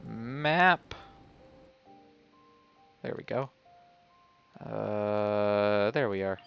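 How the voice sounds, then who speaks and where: A man streams a video game at an unhurried pace, half talking to himself and half to his viewers. His delivery is casual and offhand, and game music plays clearly behind him. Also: fairly low pitch, highs cut off. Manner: offhand, talks to himself while playing. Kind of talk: casual gameplay commentary, chatting to viewers.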